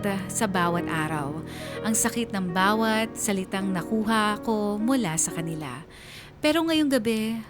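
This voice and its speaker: A woman voicing a heartbroken letter writer in scripted first-person narration, reflective and emotional, with deliberate phrasing. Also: mid-range voice, close to an everyday voice.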